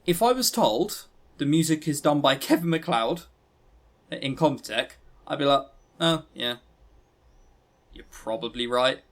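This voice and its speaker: A man's voice: on the high side, animated, unhurried. A man co-hosting a podcast, giving a casual review in a rambling, informal and blunt way. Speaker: podcast co-host.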